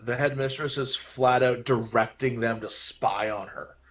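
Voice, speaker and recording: A man co-hosting a casual film discussion, speaking haltingly and repeating phrases for emphasis. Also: mid-range voice, steady tone, a crowd in the background. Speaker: film podcast co-host.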